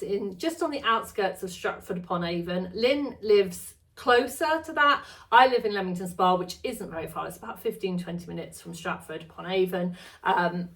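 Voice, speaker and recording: Woman, sewing vlogger, casual chat, slightly gravelly voice, home studio, a little off-mic. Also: medium pitch, moderate pace, fairly bright tone, far from the mic. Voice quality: chatty, rambling, self-correcting.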